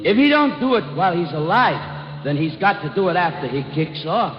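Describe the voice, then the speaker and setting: A man playing a sardonic, spooky ghost of a dead businessman, slangy drawn-out delivery and ghostly reverb, in a 1950s radio play. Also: high voice, sing-song, background noise. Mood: weary explaining. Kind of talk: 1950s American radio comedy-drama acting. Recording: dull old radio recording, long reverb, faint music.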